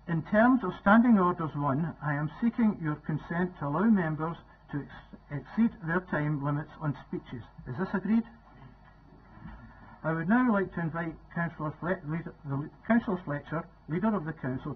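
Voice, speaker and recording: An older man with a Scottish accent chairs a council meeting in a formal way, sometimes stumbling over words. His voice sits a little high, and the sound is thin and phone-like with a faint hiss behind it. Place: council chamber, indoors. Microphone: desk microphone.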